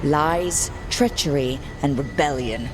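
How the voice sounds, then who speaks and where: Wry, defiant heroine, lowish voice. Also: female voice, slow pace, noisy background. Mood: accusing, wry. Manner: skeptical, sarcastic, plain-spoken. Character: defiant, wry young heroine of a fantasy adventure. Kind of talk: cinematic fantasy game voice acting. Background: loud game music.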